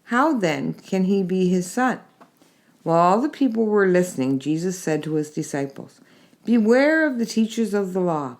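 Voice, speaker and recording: A woman reading a Bible chapter aloud in a measured, steady way with gentle emphasis. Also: lowish voice. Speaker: scripture reader.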